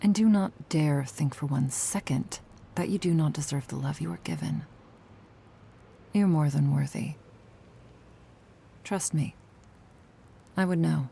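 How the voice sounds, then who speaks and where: An ageless, protective vampire woman, acted by a woman in an intimate ASMR roleplay. Her voice is dark, soft and gravelly, measured and deadpan yet tender. Faint noise sits behind her. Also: low voice, full character voice. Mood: tender, firm.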